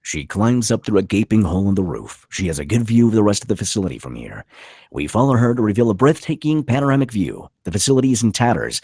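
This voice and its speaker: Man, dramatic stage-direction narration, lowish raspy voice, punchy phrasing, dry recording. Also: darkish tone, dry recording. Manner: punchy, clipped delivery with voiced sound effects.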